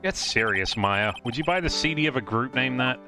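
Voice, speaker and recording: A man's voice: mid-range voice, lively intonation, a little fast, over music, natural voice. A man voicing an exasperated, earnest young defense lawyer in a live fan dub of a video game, questioning in a dry, incredulous way.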